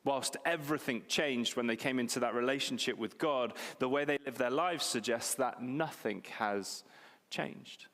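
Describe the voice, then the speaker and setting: A man's voice: mid-range voice, moderate pace, fairly bright tone. A man, preaching a sermon in a conversational, self-deprecating way and repeating key phrases for emphasis. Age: 40s. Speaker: pastor. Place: church hall. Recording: long reverb of a church hall.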